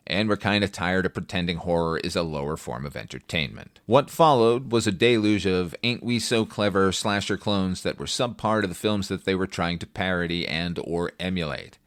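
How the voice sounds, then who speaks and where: A man's voice: fairly low pitch, a bit bright, no room sound, clean studio sound. A man hosting a film review podcast, delivering a scripted but conversational monologue in an opinionated, wry, casually profane way.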